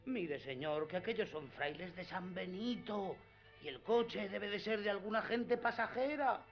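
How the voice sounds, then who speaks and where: An earthy squire of radio drama in a fairly high voice. He is humble, cautious and practical, speaking earnestly to his master, on a slightly muffled recording. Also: measured pace, faint music behind. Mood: alarmed warning. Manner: deferential, plain-spoken, earnest.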